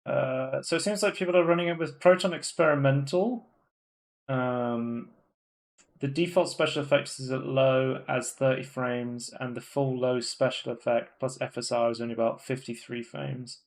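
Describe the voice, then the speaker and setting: A man's voice: medium pitch, moderate pace, gravelly. Chatty man rambling with fillers. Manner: rambling, many fillers and restarts. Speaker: podcast co-host. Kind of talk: casual gaming news chat. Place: home room, live stream.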